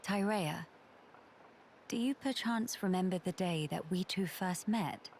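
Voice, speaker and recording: An actress playing a gentle, regal young imperial heiress in a video game, with a formal, stately delivery. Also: female voice, lowish voice, a little slow, a little hiss or hum. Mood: warm, reflective. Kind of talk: video game cutscene acting.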